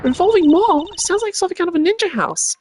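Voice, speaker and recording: A performer voicing a cheerful, curious, excitable young girl character in a video game, eager and exclaiming. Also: very high voice, quick pace, slight background noise, voice pushed up. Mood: surprised.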